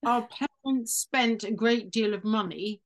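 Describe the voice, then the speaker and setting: A woman's voice: on the high side, a little slow. A woman from Ireland with an English accent, an interview guest explaining her work earnestly over a video call, often correcting herself. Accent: English, Irish accent lost. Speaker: intuitive energy reader, guest. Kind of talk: casual interview talk.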